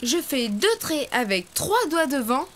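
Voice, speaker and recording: A woman's voice: high voice, sing-song, a little slow, fairly bright tone, a little hiss or hum, exaggerated voice. A woman voicing a cheerful, confident young boy character in a preschool cartoon, explaining things in a friendly way to young viewers. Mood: cheerful, instructive. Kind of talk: preschool cartoon voice acting, drawing tutorial.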